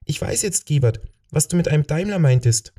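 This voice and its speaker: An exhausted man as an audiobook narrator acts him out speaks in a voice pushed high. He is full of wonder and halting as he recounts his visions, with a dark, soft tone. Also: expressive. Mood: awed, exhausted.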